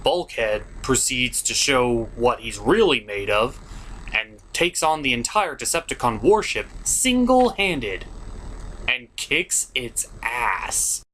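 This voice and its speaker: Animated, sarcastic man reviewing with background noise. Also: medium pitch, slow, rough recording. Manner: sarcastic, mocking, profane. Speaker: cartoon reviewer, host. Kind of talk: sarcastic scripted review narration.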